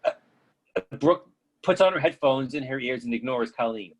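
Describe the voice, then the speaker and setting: A man's voice: medium pitch, expressive, moderate pace, muffled recording. A man giving scripted narration as the reader of a play's stage directions.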